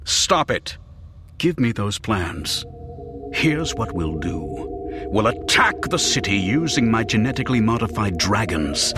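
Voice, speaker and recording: An indignant, principled male supervillain in an audiobook drama. His pitch leaps dramatically while he takes his words at a measured pace, with music clearly playing behind him. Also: very animated. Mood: commanding. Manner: flustered, indignant, theatrical. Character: exasperated, principled male supervillain with a false arm. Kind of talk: full-cast audiobook drama.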